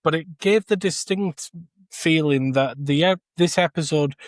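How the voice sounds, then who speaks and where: A man co-hosting a podcast, giving casual review opinions in a measured, hesitant delivery. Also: a little high, no echo. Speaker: podcast co-host.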